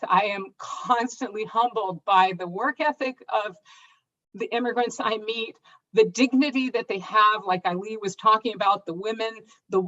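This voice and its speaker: A woman's voice: medium pitch, pushed and bright, highs cut off. A middle-aged woman from Brazil moderating a panel discussion, speaking thoughtfully with many fillers. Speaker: moderator, journalist. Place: event stage.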